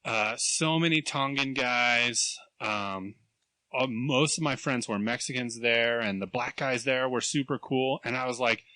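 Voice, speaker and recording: A man in his thirties co-hosting a podcast, telling animated stories, acting out other people's voices and laughing often. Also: medium pitch, measured pace, dry recording. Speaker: podcast co-host. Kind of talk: casual chat, storytelling.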